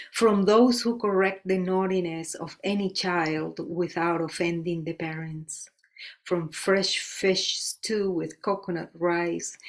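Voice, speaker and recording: A woman from Colombia, reading her own poetry in a slow, measured recital and slipping in words. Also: on the low side. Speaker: poet.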